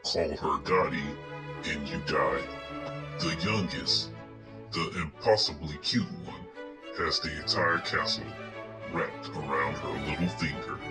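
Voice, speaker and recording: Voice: rumbling low, unhurried. A narrator reading out scripted character profiles. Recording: music behind the voice.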